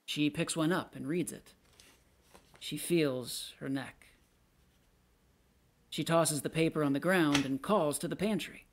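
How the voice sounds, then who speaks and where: A woman's voice: low voice, measured pace, pauses often. A woman reading a play's character notes and stage directions as scripted narration.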